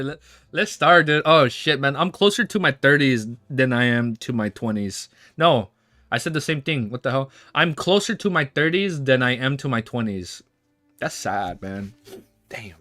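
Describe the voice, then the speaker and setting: Young male streamer in his twenties: bright voice, dry close-mic sound, loose rambling chat full of swearing. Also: fairly high pitch, dry recording. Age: 20s. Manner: rambling, swearing, quick to laugh. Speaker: livestream host. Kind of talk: casual livestream chat and reading aloud.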